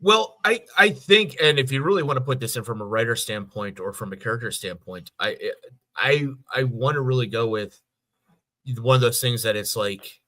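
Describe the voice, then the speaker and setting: A man co-hosting a podcast in casual fan discussion, halting and repeating words as he thinks. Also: mid-range voice, pushed and bright. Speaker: podcast co-host.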